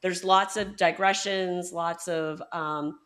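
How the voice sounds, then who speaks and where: A lower-pitched woman interviewing an author on a book podcast. She talks in a hesitant, searching way, full of ums and restarts. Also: fairly even intonation, takes it slowly, a bit bright. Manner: hesitant, many fillers and restarts. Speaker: podcast host. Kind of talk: conversational interview.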